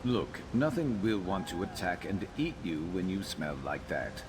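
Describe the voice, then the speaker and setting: An actor playing a gruff, guarded lizard-man companion in a video game, speaking in a dry, blunt, measured way. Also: low-pitched, very animated, noisy background. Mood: dry amusement. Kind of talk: video game character dialogue.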